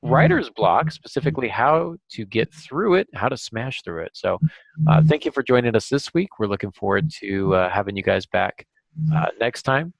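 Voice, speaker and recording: A man's voice: mid-range voice, sing-song, normal speed, vocal fry, no echo. A man hosting a podcast in a casual, easygoing, self-deprecating conversational way. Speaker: podcast host.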